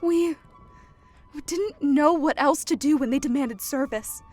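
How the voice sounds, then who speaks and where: High-pitched, defiant refugee woman acted out by a woman. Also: measured pace, darkish tone, soft background music. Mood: impassioned, pained. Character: extremely short defiant woman refugee, wounded but resolute. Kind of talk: audiobook drama acting.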